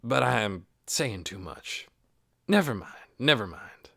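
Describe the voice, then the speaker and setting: A man's voice: medium pitch, expressive, slow, vocal fry, sharp tone, dry recording, clean studio sound, full character voice. A man voicing an eccentric, secretive male astronomer in an audiobook, intense and emphatic, with clipped musing phrases. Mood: guarded, proud. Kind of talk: audiobook character voice.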